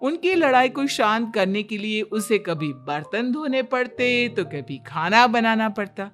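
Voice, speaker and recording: A woman's voice: a little high, sing-song, measured pace, a bit bright, soft background music. A woman reading scripted narration for a moral cartoon story as its narrator.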